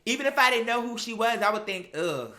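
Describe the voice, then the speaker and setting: A woman's voice: a little high, animated, fairly quick, sharp tone. A woman chatting casually and telling gossipy stories in a sassy, profane, emphatic and dramatic manner. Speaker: online talk show host. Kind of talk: casual gossip commentary, storytelling.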